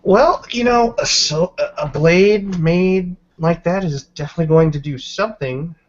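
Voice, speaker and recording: A man's voice: fairly high pitch, moderate pace, slight background noise, pitched above the performer's own voice, natural voice. Frank, skeptical werewolf woman played by a man. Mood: wary, frank. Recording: dull lo-fi audio.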